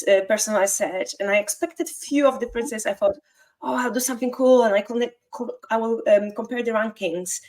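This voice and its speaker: A woman's voice: mid-range voice, poor audio. Polish-accented woman explaining at length. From Poland. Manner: fluent, explanatory, with small grammar slips and restarts. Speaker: guest SEO consultant. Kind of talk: conversational interview.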